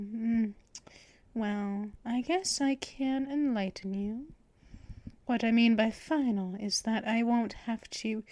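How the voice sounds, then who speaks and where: A woman voicing a possessive, teasing female vampire mistress in an ASMR roleplay, speaking in soft, purring whispers. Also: on the high side, no room sound.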